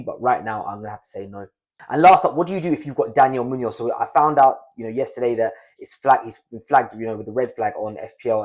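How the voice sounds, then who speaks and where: A young adult man with a croaky, raspy voice from a cold, giving chatty fantasy football analysis on his channel. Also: mid-range voice, a little mellow. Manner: chatty, conversational, fast. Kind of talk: casual analysis to camera.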